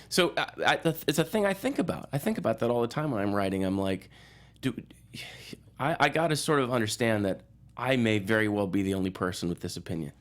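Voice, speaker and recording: Male guest critic, lowish voice, conversational, works out opinions aloud with repeated phrases. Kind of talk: casual movie talk.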